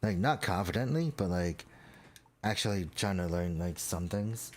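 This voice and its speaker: A young man with a very deep, low voice and a stuffy, congested nose. He rambles casually and self-deprecatingly, full of likes and ums, as he works through a model build at his desk mic. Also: lively intonation, moderate pace. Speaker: model-kit building vlogger. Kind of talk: casual chat while building a model kit.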